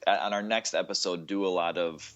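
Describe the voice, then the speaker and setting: A man with a low voice co-hosts a casual gaming podcast. He speaks informally and gives his opinions freely. The recording is dry and close, with very little room sound. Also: steady tone, brisk, fairly bright tone, dry recording, lo-fi sound. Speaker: podcast co-host.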